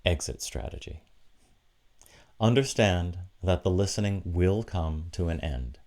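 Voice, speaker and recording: A man preaching a reflective sermon in a deliberate, encouraging manner, with short emphatic phrases. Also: low-pitched, animated, darkish tone. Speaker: preacher giving an online church sermon.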